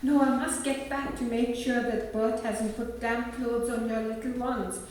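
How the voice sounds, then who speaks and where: A woman's voice: fairly high pitch, fairly even intonation, measured pace, darkish tone, a little hiss or hum, close to an everyday voice. A woman playing a kindly, fussing, chatty married neighbour in a radio play. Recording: echoey room, far from mic.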